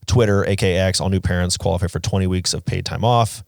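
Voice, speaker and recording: Low-voiced man chatting on a podcast. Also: steady tone, fast talker, gravelly, no room sound, studio quality. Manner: conversational, opinionated, peppered with "like". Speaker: podcast co-host. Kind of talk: casual podcast chat.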